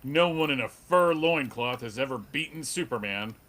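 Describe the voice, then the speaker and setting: Man playing a forceful, boastful comic book superhero. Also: medium pitch, expressive, moderate pace. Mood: combative, boastful. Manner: boastful, declarative. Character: proud, combative male superhero in a comic book. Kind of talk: dramatic comic book table read.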